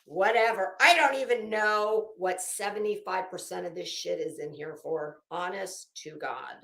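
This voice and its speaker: A woman giving a casual, blunt, profane commentary to viewers, speaking emphatically and haltingly with drawn-out words. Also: lowish voice, vocal fry, bright, forceful tone. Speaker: online commentary host. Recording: roomy echo.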